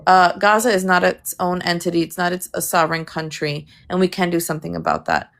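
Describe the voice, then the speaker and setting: A woman's voice: lowish voice, steady tone, a little fast, gravelly, a bit bright. A woman arguing insistently in a live online debate, pushing back when interrupted. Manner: insistent, defensive. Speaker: debate participant. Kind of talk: heated political debate.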